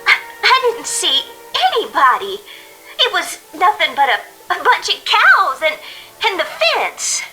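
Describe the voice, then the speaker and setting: An actress playing a breezy, slangy young female hitchhiker in an old-time radio drama, with a drawn-out, folksy delivery. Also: extremely high pitch, huge pitch swings, pushed and bright, faint music behind, exaggerated voice, low-quality recording. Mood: startled, annoyed.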